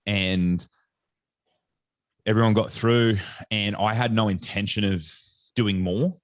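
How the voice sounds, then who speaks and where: A very deep Australian man chats as a podcast guest. His voice sits low and sounds close and dry, with no room around it. He rambles loosely through his story with plenty of casual filler. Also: steady tone, takes it slowly, no echo. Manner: rambling storyteller, heavy on "like" and "sort of". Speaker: guest, sports nutritionist.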